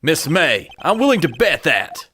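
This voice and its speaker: A man's voice: on the high side, big pitch swings, unhurried, bright, forceful tone, husky, heavily acted. A man voicing an earnest young male defense attorney who exclaims and stammers when caught off guard. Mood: determined. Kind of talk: fan dub game voice acting. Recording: with background music.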